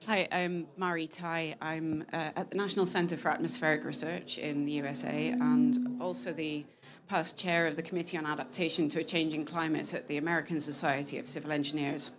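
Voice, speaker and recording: A woman's voice: deep voice, level tone, fairly quick, crowd noise. A woman speaking earnestly on a conference panel, often restarting her sentences. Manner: earnest, with frequent restarts and self-corrections. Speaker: panel speaker, climate scientist and engineer.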